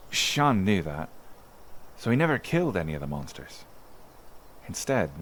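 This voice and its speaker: A folksy male villager acted by a man reminisces in an ASMR roleplay story. His voice sits fairly low and moves up and down with plenty of animation. He muses conversationally, like someone telling local history. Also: slight background noise. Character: male island villager recalling childhood amid monsters, folksy.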